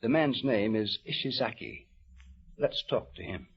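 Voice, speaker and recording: Guy doing old radio interviews, folksy, bit husky, muffled old recording. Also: lowish voice, expressive, unhurried.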